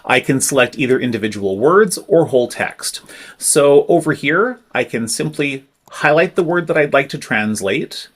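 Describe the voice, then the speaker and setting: A man walks listeners through a computer tool one step at a time, with clear, patient instructions. His voice sits at an ordinary middle pitch, neither high nor low. He sounds like he is talking into a desk microphone while recording his screen. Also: animated, normal speed. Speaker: tech tutorial presenter. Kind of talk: screen-recorded software tutorial.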